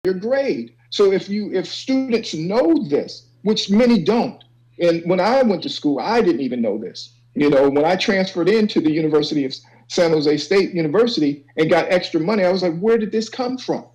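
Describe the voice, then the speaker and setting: Male guest advisor, voice on the higher side. Informal, instructive explainer who checks the listener understands. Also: lively intonation, moderate pace, darkish tone, rough recording. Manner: instructive, checks understanding with "okay" and "you know". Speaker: college financial aid advisor, guest. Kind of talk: informal explanatory panel talk.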